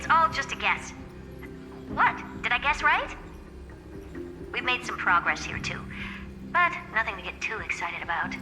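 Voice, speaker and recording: An actress playing a brisk, haughty, self-assured genius woman in a video game, smug and blunt in her delivery. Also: a little high, sing-song, in a large hall, music in the background, rough recording. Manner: smug, blunt, matter-of-fact.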